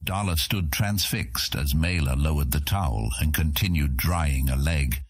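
Low, husky male audiobook narrator. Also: measured pace, no echo, studio quality. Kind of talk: scripted fantasy narration.

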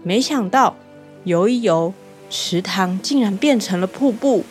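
A woman narrating a children's bedtime radio story in a gentle storytelling manner. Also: mid-range voice, unhurried, faint music behind. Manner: gentle, storytelling with lively exclamations.

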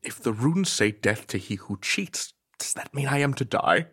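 An actor playing a vain, pompous knight who is easily indignant and speaks in grandiose mock-archaic language in a comedic audio drama. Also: male voice, medium pitch, expressive, normal speed, fairly bright tone, slightly rough. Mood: embarrassed, sheepish.

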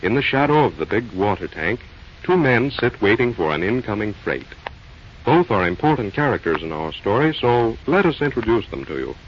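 A man narrates in a formal old-radio style. His voice is somewhat low with animated intonation. The recording is dull with faint noise. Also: normal speed. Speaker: announcer and narrator.

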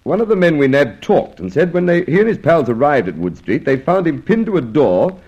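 An actor playing a gruff, exasperated and shrewd police inspector in an old radio drama, brusque, clipped and dry. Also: male voice, fairly high pitch, sing-song, fairly quick, darkish tone. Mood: dry, suspicious. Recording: muffled old radio recording.

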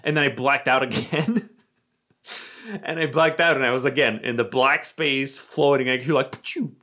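Young guy from romania, american accent, chatty storyteller, swears a lot, goes quiet and mumbly quoting himself. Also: normal speed. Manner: animated, swears freely, drops to a low murmur when quoting himself. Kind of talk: casual storytelling.

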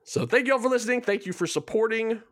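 A man's voice: very high voice, quick pace, a bit bright, dry recording, studio quality. A man speaking as a show creator in a casual promotional chat, rambling along in a jokey, self-deprecating way. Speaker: show creator being interviewed.

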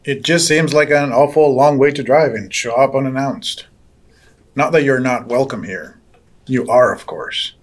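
Older farm patriarch, folksy and unhurried. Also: male voice, mid-range voice, huge pitch swings. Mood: probing. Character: older family farm patriarch, reminiscing, courteous but probing. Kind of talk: naturalistic audio drama dialogue.

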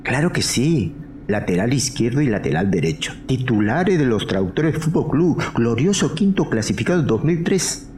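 A man's voice: medium pitch, sing-song, moderate pace, a little mellow, lightly acted. An actor playing an easygoing, conciliatory male friend in a live audio drama, casual, with a Castilian Spanish accent. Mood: good-natured. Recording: noticeable background noise, live recording.

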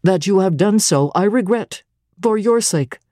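A woman voicing a stern, cold male clergyman who speaks in a low, measured and severe way. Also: lively intonation, warm tone, no room sound, clean studio sound, lightly acted. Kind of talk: dramatic reading of a classic novel.

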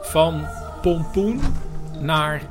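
A podcast narrator speaks in a medium-pitched voice. The style is voice-over narration. Music runs clearly underneath. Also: very slow.

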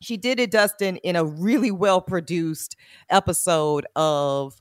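A woman attorney hosting a podcast, chatting frankly and with slang, laughing often, with a big booming voice. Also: a little slow, no room sound, clean studio sound. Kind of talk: casual podcast chat.

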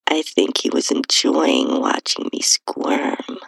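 Female narrator for intimate erotic audio fiction: slow, breathy and sultry, swinging widely in pitch, close dry sound. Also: huge pitch swings, a bit bright, no echo. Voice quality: breathy, whispery, husky. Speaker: podcast host and narrator reading own story. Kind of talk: intimate first-person erotic audio storytelling.

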